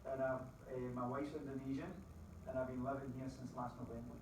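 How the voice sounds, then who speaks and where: A middle-aged Scottish man giving a lively motivational talk to a live audience, quizzing the crowd with folksy asides. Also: mid-range voice, a little fast, slight background noise. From Scotland. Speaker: business opportunity presenter. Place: presentation room with an audience. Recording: very echoey room, distant mic.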